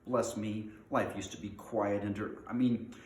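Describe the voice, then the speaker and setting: A man's voice: lowish voice, fairly even intonation, a little slow, a little mellow, close to an everyday voice. A man voicing a fussy, polite middle-aged hobbit who is easily flustered, with chatty old-fashioned phrasing, in a storybook reading. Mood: astonished, excited. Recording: roomy echo, faint background noise.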